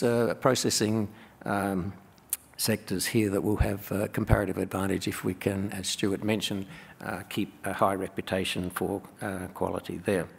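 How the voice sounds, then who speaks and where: Gravelly man on a panel. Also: on the low side. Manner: measured, hesitant with many fillers. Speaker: panellist, economics professor. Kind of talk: conference panel remarks. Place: conference stage with panel table. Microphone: table microphone. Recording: long hall reverb.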